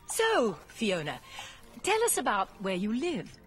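High, genteel queen. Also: wildly swooping pitch, a little slow, breathy edge, soft background music, heavily acted, rough recording. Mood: polite, placating. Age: middle-aged. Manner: genteel, diplomatic. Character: gracious middle-aged queen, polite peacemaker mother. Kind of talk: animated film voice acting.

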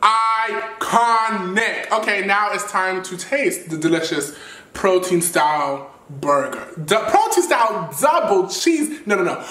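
A young man in his twenties cooking in a home kitchen, talking in a sassy, flamboyant, animated way and swearing freely. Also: high-pitched, slow pace. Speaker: vlogger cooking. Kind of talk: casual cooking vlog. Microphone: camera across the kitchen. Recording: echoey kitchen, mic at a distance. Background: food sizzling in a pan.

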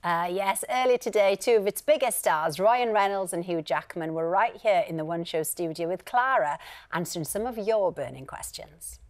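A woman in her forties, a TV presenter, delivering smooth scripted links in a bright studio. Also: fairly low pitch, lively intonation, normal speed.

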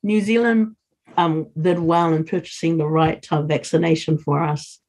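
A woman's voice: low-pitched, fairly even intonation, moderate pace, darkish tone. A middle-aged woman speaking earnestly as a guest on a video call, urging listeners on. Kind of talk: earnest interview talk.

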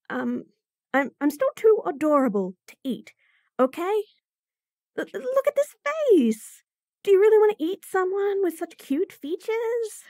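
A woman's voice: very high voice, a little mellow, dry recording, clean studio sound, exaggerated voice. A woman voicing a bratty, flustered young bunny girl who stammers and giggles nervously in a roleplay audio.

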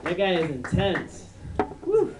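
A young man doing stand-up on a dark club stage, his high voice swooping lively up and down as he rambles through fillers. He is caught from a distance, with the room's echo around him. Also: over a noisy crowd, very noisy. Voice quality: high, unmasculine voice. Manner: rambling, halting with many fillers. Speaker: stand-up comedian. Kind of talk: stand-up routine. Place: dark club stage before a live audience. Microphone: handheld mic on a stand. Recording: echoey room, mic from far off.

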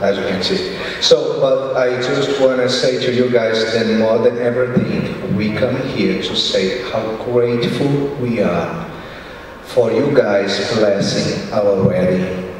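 A man with a heavy Brazilian accent, speaking earnestly in halting, careful phrases as he gives a thank-you speech at a gala. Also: mid-range voice. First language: Portuguese. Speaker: award recipient's husband. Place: large ballroom stage. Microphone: podium mic. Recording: echoey hall from far off.

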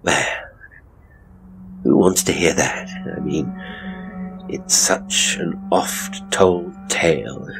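A man's voice: extremely low pitch, extremely slow, creaky, pauses often, over music, heavily acted, poor audio. A man playing a hapless, pompous middle-aged ghost tour operator in a horror audio drama, with a British accent and a grumbling, theatrical, wordy delivery. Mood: pompous, indignant. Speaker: ghost tour guide and storyteller.

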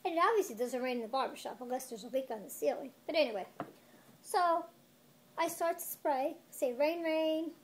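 A woman's voice: high-pitched, moderate pace. A middle-aged woman explaining her work informally to camera, speaking emphatically like someone telling a story from experience. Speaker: children's barber, shop owner. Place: small barbershop indoors. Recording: faint background room noise.

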